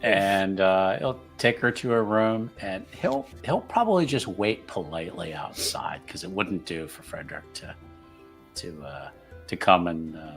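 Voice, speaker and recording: A man's voice: low-pitched, lively intonation, unhurried, vocal fry, soft background music. A man playing a tabletop roleplaying game, casually improvising narration of what his character does.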